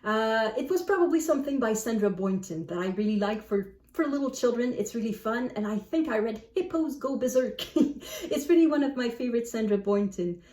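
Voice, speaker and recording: A woman book vlogger chatting casually to camera in an animated, self-deprecating way. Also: on the high side, a little fast. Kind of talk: casual chat to camera, answering book prompts. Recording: echoey room, distant microphone.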